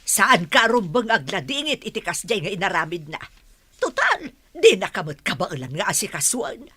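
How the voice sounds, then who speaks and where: A woman's voice: mid-range voice, sing-song, moderate pace, sharp tone, slightly rough, heavily acted. A woman acting an indignant, protective older mother of a grown son in a radio drama, scolding and motherly. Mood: consoling.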